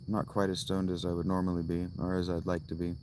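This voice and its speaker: Very deep, flat-voiced man musing in a tent. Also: slight background noise. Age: 30s. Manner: slow, musing, thinks aloud with restarts. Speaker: livestreamer. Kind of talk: casual livestream chat. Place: inside a tent, outdoors. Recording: loud crickets and outdoor ambience. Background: crickets.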